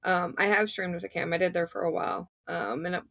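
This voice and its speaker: A woman guest with a low voice, talking in a matter-of-fact way and often saying "you know". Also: fairly even intonation, fast, vocal fry, a bit bright, no echo, clean studio sound. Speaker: streamer guest. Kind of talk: casual panel chat.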